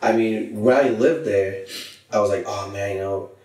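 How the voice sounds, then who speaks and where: A young man from Hawaii, raised in California, chatting as a podcast guest in a laid-back way, full of "you know" fillers and trailing off quietly. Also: on the low side, moderate pace, echoey room, far from the mic, a crowd in the background. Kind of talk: casual podcast chat.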